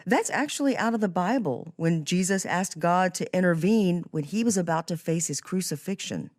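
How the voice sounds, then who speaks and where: Low-voiced woman hosting a podcast. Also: expressive, normal speed, a little mellow, no room sound, studio quality. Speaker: podcast host. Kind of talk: conversational interview about a novel and faith.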